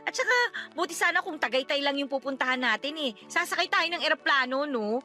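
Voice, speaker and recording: A woman's voice: sits high, lively intonation, brisk, sharp tone, soft background music. A woman with a Filipino accent playing a talkative, stubborn wife in her thirties in a radio drama, chatty and nagging. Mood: annoyed, questioning.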